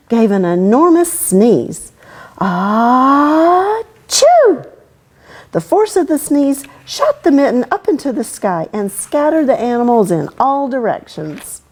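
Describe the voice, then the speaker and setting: Female children's storytime reader: mellow tone, lively expressive melody, clear storytelling delivery for young listeners. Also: on the high side. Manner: expressive storytelling aimed at kids. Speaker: storytime host reading aloud. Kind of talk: children's picture book read-aloud.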